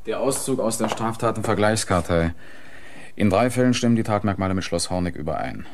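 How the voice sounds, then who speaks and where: An actor playing a brisk, dry police detective in a German radio crime drama. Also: male voice, on the low side, lively intonation, faint music behind. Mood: matter-of-fact. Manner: clipped, dry, matter-of-fact.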